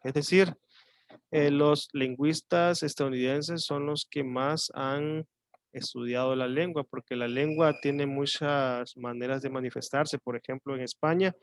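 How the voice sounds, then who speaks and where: A male teacher from Mexico with a coastal Mexican Spanish accent, explaining a lesson slowly and thinking aloud with fillers and pauses. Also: medium pitch, steady tone, slight background noise. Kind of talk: online class lecture.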